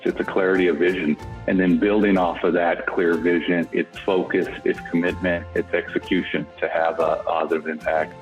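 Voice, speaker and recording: A man giving a measured tribute to a colleague in a documentary interview. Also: deep voice, little pitch movement, faint music behind. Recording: dull lo-fi sound.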